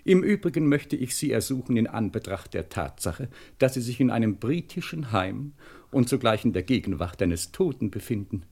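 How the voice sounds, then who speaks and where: An actor playing a haughty, pedantic middle-aged English butler in a German radio crime comedy, speaking formally and with dry sarcasm. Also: male voice, medium pitch, sing-song, moderate pace, a little mellow. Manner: pompous, formal, dryly sarcastic. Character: haughty, pedantic English butler, easily frightened, about fifty.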